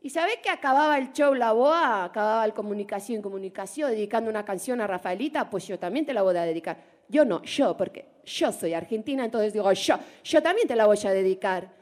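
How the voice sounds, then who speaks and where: A woman's voice: mid-range voice, expressive, darkish tone, natural voice. A woman putting on a gossipy Rioplatense busybody. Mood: teasing. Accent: Rioplatense Spanish put on. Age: 40s. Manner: chatty, teasing, drawn-out vowels. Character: gossipy Argentine woman, nosy and chatty. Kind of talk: comic monologue impression.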